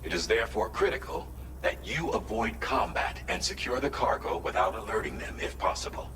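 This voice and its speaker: A man playing a formal mission briefer, low voice. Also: expressive, close to an everyday voice. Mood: urgent. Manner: formal, measured, earnest. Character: formal male mission coordinator giving briefings. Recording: roomy, distant, noisy game audio.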